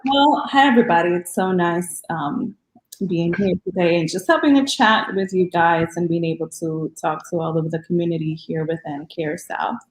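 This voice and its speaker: A woman's voice: on the low side, normal speed, reverberant, rough recording. A woman, a physician guest talking earnestly on a podcast with many ums. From Dominican Republic. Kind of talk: podcast interview.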